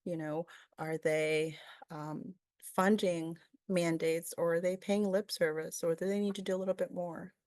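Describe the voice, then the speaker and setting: A woman's voice: on the low side, steady tone, unhurried, a little mellow, dry recording, clean studio sound. A woman from Peru giving a webinar presentation, thoughtful and full of fillers, often asking "right?".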